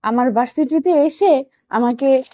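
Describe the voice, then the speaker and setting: A young woman reads a romantic story in a high voice. The recording is dry and close, and she tells it in the first person as an audio story narrator. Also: unhurried, mellow, dry recording.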